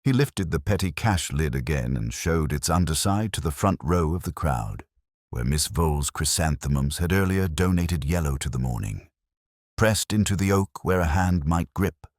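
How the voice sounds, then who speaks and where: Very deep, husky male storyteller. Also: no echo, clean studio sound. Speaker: audiobook narrator. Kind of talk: scripted literary mystery narration.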